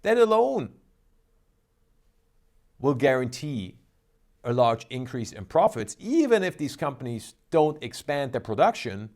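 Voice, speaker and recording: A man's voice: mid-range voice, very animated, a little slow, long pauses, darkish tone. A man hosting a stock trading show, talking in an upbeat, emphatic, salesy way. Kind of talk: energetic market commentary.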